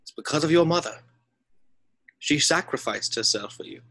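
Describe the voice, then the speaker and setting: A man playing a wise, gentle, elderly wizard headmaster in a fantasy screenplay read, speaking in a measured, deliberate way. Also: fairly high pitch, lively intonation, vocal fry, full character voice.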